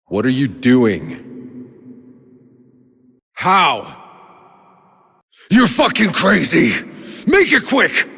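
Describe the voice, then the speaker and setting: A man voicing a gruff, sardonic, battle-hardened soldier hero in a video game, with a terse, dry delivery. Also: low voice, no echo, full character voice. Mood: angry, alarmed. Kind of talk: video game character voice lines.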